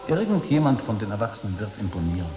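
Probing visiting man, low voice, declamatory, deliberate, literary radio drama, long reverb. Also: big pitch swings. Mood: insistent. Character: probing former boarding school pupil, a man back visiting. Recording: long hall reverb, audible background noise.

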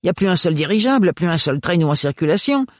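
Audiobook figure: male reader as a gruff burly servant, fast and loud, dry sound. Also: high voice, sing-song, no echo, voice pushed up. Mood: blustering. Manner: blustering, gruff, rapid. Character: gruff burly working man, loyal and rough.

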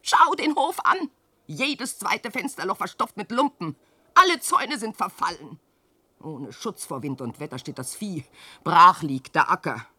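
Dramatically swooping, scolding old widow. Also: female voice, lowish voice, animated, unhurried, pushed and bright, breathy edge, no room sound, exaggerated voice. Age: older. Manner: declamatory, nagging and wailing, dramatic swings. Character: scolding, lamenting old peasant widow, long-suffering mother. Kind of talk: theatrical verse radio drama acting.

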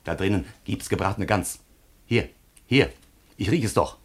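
A man's voice: sits low, expressive, moderate pace. An actor playing a ruined, paranoid man who laments and accuses with pompous indignation in a German radio comedy. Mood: suspicious, indignant. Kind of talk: theatrical German radio play acting of a classical comedy.

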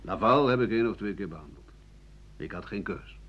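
An actor playing a bitter old French doctor-writer in a radio play, rambling through a sardonic, coarse, self-justifying monologue in a husky voice. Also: male voice, on the low side, animated, a little fast. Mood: weary, sardonic. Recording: dull lo-fi 1980 radio recording.

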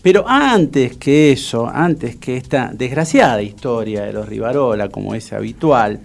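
A man's voice: medium pitch, lively intonation, a little slow, gravelly. A man with an Argentine accent from Buenos Aires hosting live radio in a casual, chummy way, often repeating himself. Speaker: radio host.

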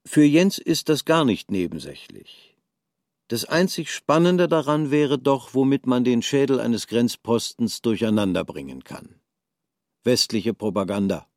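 A man narrating a radio drama in a measured storytelling manner. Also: medium pitch, expressive, no echo, studio quality.

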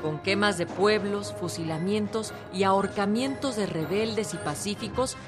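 A woman's voice: mid-range voice, moderate pace. A woman with a Mexican accent reads scripted radio documentary narration. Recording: loud music under the narration. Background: loud string music.

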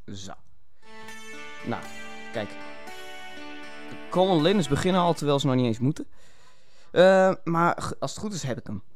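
A man's voice: a little high, lively intonation, moderate pace, pauses often, faint music behind. Hesitant male radio presenter, chatting casually. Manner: hesitant, muttering to himself. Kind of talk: casual improvised live radio chat.

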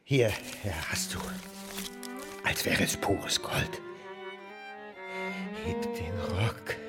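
An actor playing a lecherous, greedy crippled man in a German radio play, urgently breathy and pleading. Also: wildly swooping pitch, drawn-out words, heavily acted. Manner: breathy, urgent whispering that breaks into shrill cries. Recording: long reverb with music behind.

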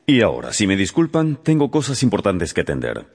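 A very low, husky male voice plays an aloof gentleman in a radio drama. He is deadpan and dryly teasing, with lively intonation. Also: a little fast, in a large hall, heavily acted. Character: dry, teasing father; also haughty young gentleman.

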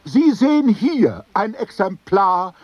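A man's voice: high voice, sing-song, takes it slowly, dry recording, lo-fi sound, exaggerated voice. A man playing a taunting, pompous bully who leads a rowdy gang, lecturing with mock politeness in a radio play. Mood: gleefully contemptuous lecturing. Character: jeering, sadistic antisemitic bully, leader of a rowdy men's group. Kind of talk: German radio play acting.

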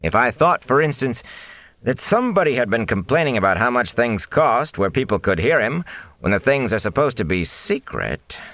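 A man's voice: medium pitch, sing-song, normal speed, fairly bright tone, no room sound, voice pushed up. A man voicing a shrewd, stout middle-aged innkeeper who is also the village mayor, hearty and wry.

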